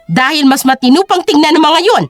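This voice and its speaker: A woman's voice: high voice, brisk, no echo, faint music behind, lightly acted. Woman voicing a haughty, sneering rich mother-in-law. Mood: contemptuous, commanding. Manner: scornful, belittling, commanding.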